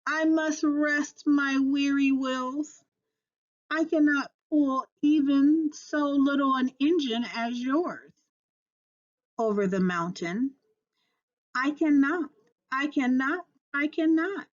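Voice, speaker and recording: A woman's voice: sits high, takes it slowly, dull audio. A woman voicing a tired, rusty old male train engine in a storybook, sighing wearily. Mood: weary, apologetic. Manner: sighing, weary, repetitive.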